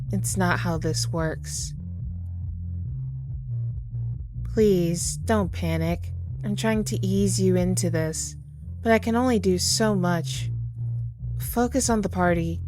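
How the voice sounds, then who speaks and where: Woman as a slow, gentle, sorrowful Grim Reaper. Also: medium pitch, fairly even intonation, over music, close to an everyday voice. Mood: regretful, soothing. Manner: soft-spoken, tender, unhurried reassurance with pauses. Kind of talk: ASMR audio roleplay, intimate comforting monologue.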